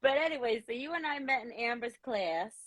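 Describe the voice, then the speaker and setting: A woman's voice: a little high, lively intonation, fairly quick, a bit bright. A young woman hosting a live talk show over a video call, bubbly and playful, laughing often. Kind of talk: casual live interview chat.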